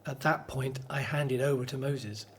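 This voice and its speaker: A man's voice: medium pitch, fairly even intonation, moderate pace, slight background noise, lightly acted. An actor playing an earnest, devout Hebrew spokesman in a radio drama. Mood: earnest recounting.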